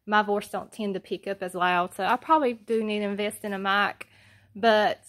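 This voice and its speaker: A soft-spoken woman with a Southern US accent talks low and unsure into her phone at home. Her voice sits at an ordinary middle pitch, and she fills her pauses with ums. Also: fairly even intonation. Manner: hesitant, many ums, plain. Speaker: homestead vlogger. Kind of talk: casual how-to vlog. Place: at home, alone with a phone camera.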